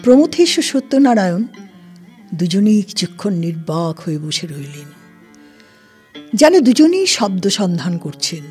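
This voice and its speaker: A woman narrating a scripted literary story in a deliberate, formal storytelling manner. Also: medium pitch, sing-song, slightly rough. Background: soft plucked-string music.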